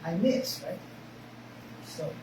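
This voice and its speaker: A woman with a Spanish accent, a native Spanish speaker, teaching a karate class in short broken phrases and often checking in with "okay?". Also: slow. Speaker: karate instructor. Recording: echoey room, mic far off, noisy.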